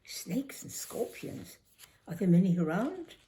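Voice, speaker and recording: A woman's voice: mid-range voice, very animated, measured pace, warm tone, voice pushed up. An older woman voicing a polite, hesitant thirteen-year-old schoolgirl in a memoir reading, earnest and tentative. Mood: nervous. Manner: earnest, tentative, well-mannered.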